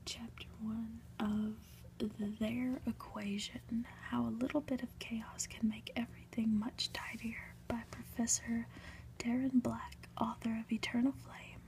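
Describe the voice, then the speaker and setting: A woman reads a storybook aloud for ASMR in a soft, breathy, gentle whisper, with deliberate, unhurried phrasing. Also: fairly high pitch, slight background noise, low-quality recording. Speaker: ASMR reader.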